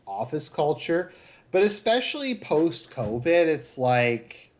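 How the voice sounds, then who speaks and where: A man with a Southern Ontario Canadian accent, chatting casually as a livestream host in a halting, rambling way that sounds like thinking aloud. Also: a little high, very animated. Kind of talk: casual chat, live commentary.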